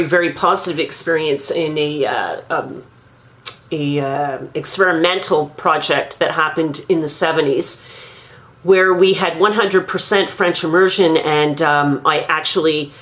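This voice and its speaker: A woman in her fifties from Quebec, thoughtfully recounting her own school memories in a measured, pausing monologue. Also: sits low, fairly bright tone. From Quebec, Canada. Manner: measured, thoughtful, with frequent pauses. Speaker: grandmother recounting her language learning. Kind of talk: reflective personal monologue.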